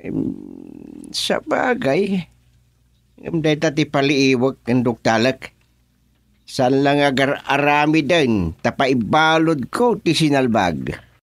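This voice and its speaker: A man playing an excitable, comic village chairman in a radio drama, exclaiming with drawn-out interjections. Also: medium pitch, dry recording. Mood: serious, indignant. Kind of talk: comedic radio drama acting.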